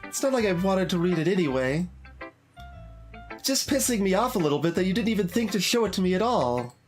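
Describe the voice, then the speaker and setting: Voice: mid-range voice, very animated, a little fast, pitched above the performer's own voice, natural voice. Streamer voicing a snippy, sulky anime schoolgirl. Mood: huffy. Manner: curt, huffy. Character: snippy, sulky teenage schoolgirl, grudging and easily offended. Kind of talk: reading visual novel dialogue in character. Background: game music.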